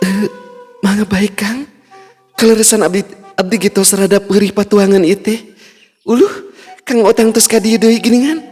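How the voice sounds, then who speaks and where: A man voicing a lively young noblewoman in a told folk tale, speaking in a high falsetto with a cheerful, teasing manner. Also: moderate pace, pauses often. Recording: long reverb, faint music behind.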